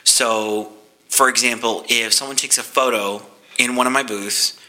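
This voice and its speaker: A man's voice: medium pitch, steady tone, measured pace, pushed and bright, rough recording. A man talking about his business in a casual, enthusiastic, playful way. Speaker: podcast guest, photo booth business owner.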